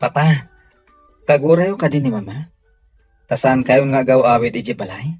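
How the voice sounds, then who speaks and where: A man's voice: mid-range voice, normal speed, darkish tone, faint music behind, lightly acted. An actor with a Filipino accent playing a devoted, tender young man in a radio drama. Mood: respectful.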